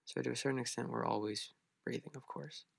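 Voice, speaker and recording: Man, meditation instructor, gravelly low voice, gentle unhurried delivery, long pauses, very dry sound. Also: fairly even intonation, dry recording. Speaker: meditation guide. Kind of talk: guided meditation for beginners.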